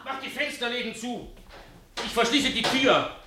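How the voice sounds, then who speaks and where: A man's voice: very high-pitched, moderate pace. An actor playing a bossy, anxious male café boss in a radio play, barking orders in an agitated way. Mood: urgent, anxious. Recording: echoing room with clear background noise.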